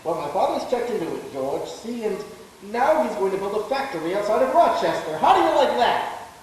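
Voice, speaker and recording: A man acting as a brash young wheeler-dealer, hearty and boisterous. The high voice swings widely in a radio drama, heard from a distance in a ringing hall. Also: very animated, a little fast, a little mellow. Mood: brash, confident. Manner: boisterous, backslapping. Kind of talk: live 1940s-style radio play acting. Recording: echoey hall, distant, faint hiss.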